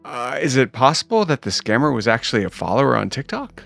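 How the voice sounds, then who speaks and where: A middle-aged man hosting a conversational interview, halting and repeating himself as he forms his questions. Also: medium pitch, big pitch swings, vocal fry, a bit bright, soft background music. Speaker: podcast host.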